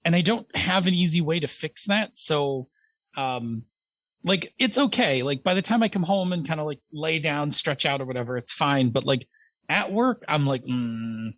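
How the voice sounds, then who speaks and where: A man's voice: on the high side, lively intonation, normal speed, a bit bright, dry recording. A man from Buffalo, New York, co-hosting a podcast, rambling and mildly grumpy with lots of fillers. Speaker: podcast co-host. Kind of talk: casual chat.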